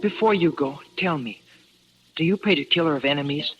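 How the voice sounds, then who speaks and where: Man playing an earnest, high-voiced Apache boy. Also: brisk, a bit bright, close to an everyday voice. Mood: solemn, gentle. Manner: formal, simple, careful phrasing. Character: earnest wounded Apache boy, loyal and solemn. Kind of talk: 1950s radio drama acting. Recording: muffled old radio recording, music behind.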